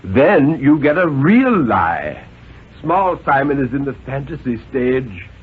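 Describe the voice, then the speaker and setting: A man's voice: medium pitch, very animated, slow pace, slightly rough, full character voice. A man playing an overbearing, condescending father who lectures his small son in a pompous, emphatic way, in a 1950s radio reading. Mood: patronizing. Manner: pompous, insistent, jolly-patronizing, emphatic. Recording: tinny, noisy 1950s radio recording.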